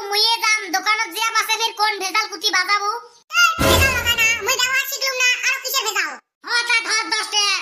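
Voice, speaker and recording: A performer voicing a cheeky, squabbling cartoon village boy with an artificially pitched-up squeaky voice and a northern Bengali accent. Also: heavy background noise. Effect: pitch-shifted up. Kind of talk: comic cartoon dialogue in rural dialect.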